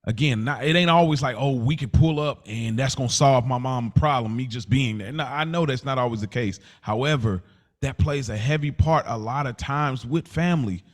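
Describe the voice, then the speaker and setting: A man's voice: mid-range voice, normal speed. A man co-hosting a podcast, talking casually and searching for words as he argues and acts out examples. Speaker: podcast co-host. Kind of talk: casual conversational debate.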